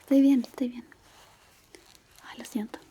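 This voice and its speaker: A woman doing a soft-spoken, half-whispered ASMR roleplay as a shy, caring high school classmate, gentle and hesitant. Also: fairly even intonation, measured pace, slightly rough. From Chile.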